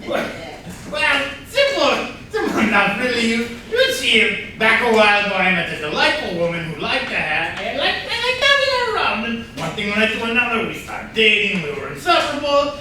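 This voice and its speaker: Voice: medium pitch, very animated, a little fast, bright, forceful tone. An actor playing a bitter, flamboyant jilted man in a comedy stage reading, theatrical and stammering with gleeful spite. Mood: petty, theatrical. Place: room at a live staged reading. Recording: distant, echoey room with faint noise.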